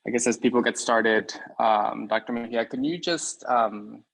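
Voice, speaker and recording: A man moderating a webinar Q&A in a relaxed way, with fillers. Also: mid-range voice, normal speed, vocal fry, low-quality recording. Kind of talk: hosting a Q&A.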